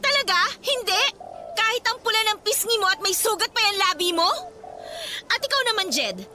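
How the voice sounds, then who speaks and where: An actress playing an outspoken, indignant young best friend in a radio drama, scolding bluntly with exclamations. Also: female voice, very high voice, lively intonation, moderate pace, background noise. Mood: alarmed, indignant. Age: young adult.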